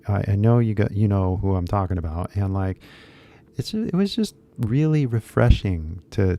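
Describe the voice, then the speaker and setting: A man's voice: lowish voice, creaky, dark tone. A man in his thirties chatting casually on a live stream, rambling with lots of fillers and switching into Japanese at times. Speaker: English teacher YouTuber.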